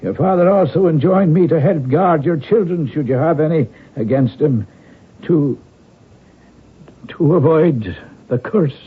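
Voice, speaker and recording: Husky, devout old retainer. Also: male voice, a little high, measured pace. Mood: solemn, earnest. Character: loyal old Irish family retainer, anxious and devout. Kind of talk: radio drama acting. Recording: muffled narrow-band radio broadcast recording.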